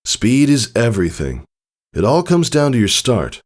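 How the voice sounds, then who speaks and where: A man's voice: medium pitch, wildly swooping pitch, moderate pace, voice pushed up. A man voicing a caring, patient pegasus father in a story reading, coaching his child in an earnest, encouraging way. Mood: solemn, instructive. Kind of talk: dramatized storybook character reading.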